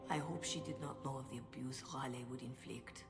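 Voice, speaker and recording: Grave, low-voiced clergywoman. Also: fairly even intonation, over loud music. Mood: cautious, grave. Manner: formal, measured, diplomatic. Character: grave, measured, principled woman of the Chantry clergy. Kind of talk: dramatic video game dialogue.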